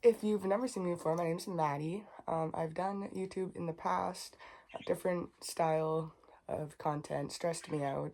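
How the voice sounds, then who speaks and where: A woman, chatting casually and unfiltered to camera in a packing vlog, a little sniffly and raspy from a cold. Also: low-pitched, darkish tone, a little hiss or hum. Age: 20s. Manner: chatty, unfiltered, rambling asides. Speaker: vlogger.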